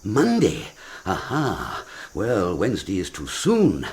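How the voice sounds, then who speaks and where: Blustering old lord, wildly swooping pitch. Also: male voice, wildly swooping pitch, takes it slowly, a little mellow, heavily acted. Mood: brisk, scheming. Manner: rambling, abrupt exclamations. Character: blustering, impulsive older lord and father. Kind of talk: radio Shakespeare drama.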